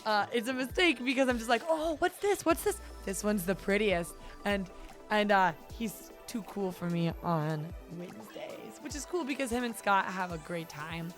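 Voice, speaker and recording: A woman's voice: mid-range voice, expressive, normal speed, soft background music. A woman hosting a live gaming show, chatting in a playful, giggly way. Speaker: stream show host. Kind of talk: casual live-stream chat.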